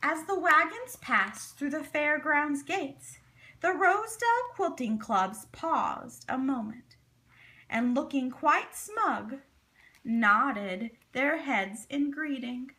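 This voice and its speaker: A woman reading a children's story aloud in an expressive, deliberate, dramatic way. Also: high voice. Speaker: storybook reader.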